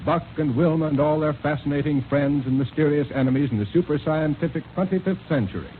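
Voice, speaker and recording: A man's voice: a little high, quick pace. A man with an American accent reading scripted announcements and narration in 1930s radio style. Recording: tinny 1930s radio recording, faint noise.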